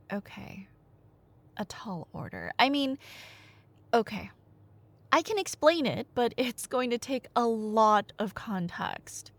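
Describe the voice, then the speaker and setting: A woman performing a casual roleplay monologue as a chatty, nerdy storyteller, rambling playfully with dramatic pauses. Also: mid-range voice. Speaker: ASMR roleplay audio performer playing a talkative girlfriend.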